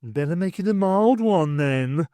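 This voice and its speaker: Man as a theatrical evil pantomime vizier, swooping pitch. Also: lively intonation, measured pace, mellow, no echo. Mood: exasperated. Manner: theatrical, intoning.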